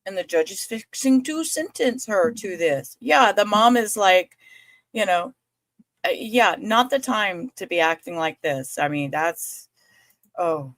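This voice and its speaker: A woman's voice: medium pitch, lively intonation, a little slow, a bit bright, no room sound. A woman host giving casual, chatty reaction commentary, breaking in with her own remarks. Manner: chatty, interjecting. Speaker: host commenting on court footage.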